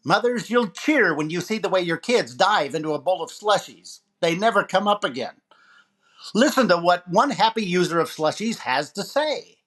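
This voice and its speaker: A man's voice: high voice, lively intonation, normal speed, fairly bright tone. A man playing a brash, wisecracking comedian as a jive-talking disc jockey in 1940s radio comedy style, with showy, punchy patter. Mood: upbeat, showy.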